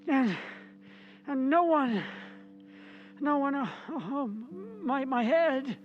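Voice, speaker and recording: Man playing a high-voiced, nervous scheming husband. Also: animated, slow, highs cut off, heavy background noise, full character voice. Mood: bitter, defiant. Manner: coaxing, anxious, stammering. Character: henpecked, scheming, nervous husband with false sweetness. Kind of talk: old-time radio horror acting.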